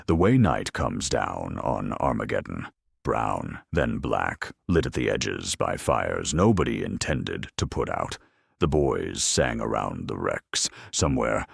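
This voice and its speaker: A man with a gravelly growl narrating a grimdark war story in a grave, dramatic style, with weighty pauses. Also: very deep voice, no room sound, studio quality.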